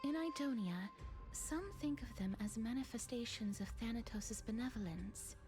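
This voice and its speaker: An actress playing a soft-spoken, solemn and thoughtful young woman in a video game, speaking formally and with eerie calm. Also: on the high side, normal speed, faint music behind, close to an everyday voice. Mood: calm, pensive. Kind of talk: scripted video game dialogue.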